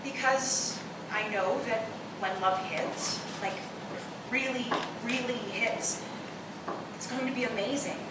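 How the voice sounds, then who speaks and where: A very reverberant, noisy live recording carries a woman's higher-pitched voice. An actress plays a blunt skeptic who still believes in love, speaking in a stage radio drama style from some distance. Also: takes it slowly, long pauses. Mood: wistful, earnest. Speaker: actor. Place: roomy live performance space, far from mic. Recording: very echoey, distant live recording, clear noise.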